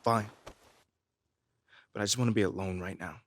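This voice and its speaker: A man playing a frustrated young rookie basketball player in a video game scene, with a husky, conversational, defensive delivery. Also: fairly low pitch, steady tone, normal speed, lightly acted. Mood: fed up. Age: young adult. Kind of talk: naturalistic video game cutscene acting.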